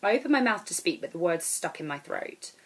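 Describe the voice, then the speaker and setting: Woman author with a lowish voice, reading. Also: big pitch swings, fairly quick. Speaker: author reading own novel. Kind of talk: expressive storybook narration.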